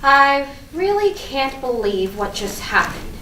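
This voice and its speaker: A woman's voice: sits high, very animated, slow pace, bright, forceful tone, exaggerated voice. A woman acting a petty, indignant young woman with a snarky, emphatic delivery in a comedic staged reading. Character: petty, indignant young woman stewing over former friend. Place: live staged reading in a room. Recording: roomy, distant mic, faint noise.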